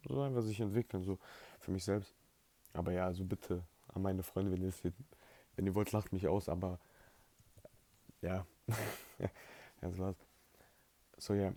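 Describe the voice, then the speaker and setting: A low, gravelly-voiced young man of eighteen, talking loosely to the camera like he's journaling out loud. His tone is dark and mellow, and he drifts along with plenty of "like" and "um" in English, which isn't his first language. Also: no echo. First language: not English. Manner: rambling, filler-heavy, laid-back. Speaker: vlogger. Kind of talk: casual spoken journaling monologue.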